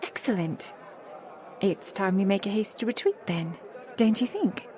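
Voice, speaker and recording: A woman voicing an affectionate, protective ancient vampire woman in a soft, intimate ASMR roleplay, with genteel old-fashioned phrasing. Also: mid-range voice, animated, a little slow, a faint crowd, slight background noise, lightly acted. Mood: playful, inviting.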